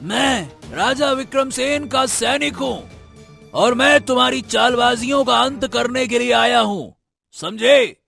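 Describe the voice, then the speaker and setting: A man playing a fearless, boastful royal hunter in a cartoon story, declaiming boldly in a strained, creaky voice. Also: very high voice, unhurried, pushed and bright, soft background music, heavily acted. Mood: defiant. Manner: bold, declamatory.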